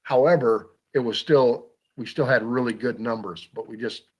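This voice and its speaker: A man hosting a hunting talk show, chatting in a folksy, unhurried way full of ums and uhs. His voice sits at an ordinary middle pitch, and he stays relaxed even when he reads out a sponsor deal. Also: husky, poor audio. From Iowa. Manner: folksy, filler-heavy delivery. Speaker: radio show host. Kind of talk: casual radio talk with an ad read.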